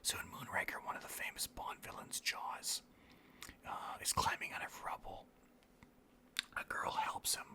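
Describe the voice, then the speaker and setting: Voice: normal speed, pauses often, sharp tone. Whispering, rambling video host. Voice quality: breathy whisper. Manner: rambling, anecdotal, profane. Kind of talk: whispered ASMR-style casual rambling.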